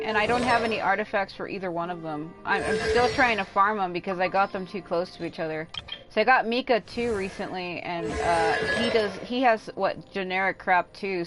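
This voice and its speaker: A woman with a mid-pitched voice talks casually on a game livestream. Her chat is informal and rambling, with the odd offhand swear. Game music plays clearly behind her. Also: rough recording.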